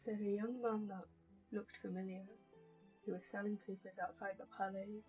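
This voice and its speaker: An actress plays a young woman in a video game scene. Her mid-pitched voice is plain and explanatory. The audio is a bit dull, with music behind her. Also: flat delivery, moderate pace, lightly acted. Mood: matter-of-fact. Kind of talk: dramatic video game voice acting.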